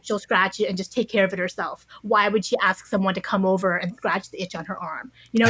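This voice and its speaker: A woman's voice: medium pitch, fairly bright tone, no room sound, poor audio. A woman speaking as an interview guest, explaining things in an articulate, conversational way. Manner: articulate, explanatory, often checks with "you know" and "right?". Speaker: podcast guest, author interviewed on a book.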